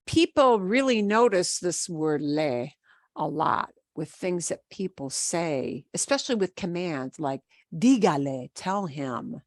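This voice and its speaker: A woman teaching a class over a video call, with a Midwestern American accent. She talks slowly and patiently, pausing on fillers and restarting her sentences. Her voice sounds dry and close. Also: fairly low pitch, expressive, dry recording. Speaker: Spanish teacher. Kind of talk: online class lesson.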